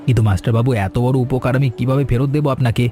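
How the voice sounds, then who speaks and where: A man voicing a fast, mellow-voiced humble tea seller. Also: medium pitch, steady tone, faint music behind, natural voice. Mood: grateful. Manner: anxious, stammering. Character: humble male tea seller, anxious husband. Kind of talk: animated cartoon voice acting.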